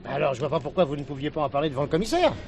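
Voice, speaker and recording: An actor playing a blunt, down-to-earth local police chief in a 1970s French drama, with a gruff, colloquial manner. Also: male voice, fairly high pitch, lively intonation, rapid-fire, background noise. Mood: impatient.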